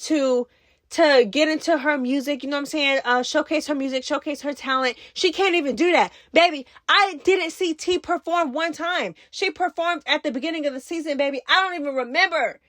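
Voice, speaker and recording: High, bright-voiced sassy woman commentator. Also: brisk, no echo, clean studio sound. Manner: sassy, slangy, emphatic. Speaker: host of a reality TV recap channel. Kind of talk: casual gossip commentary.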